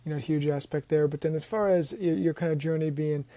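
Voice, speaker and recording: Mellow-voiced man hosting a podcast. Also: fairly high pitch, fairly even intonation, quick pace. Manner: hesitant questions, short acknowledgments. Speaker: podcast host. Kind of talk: casual interview talk.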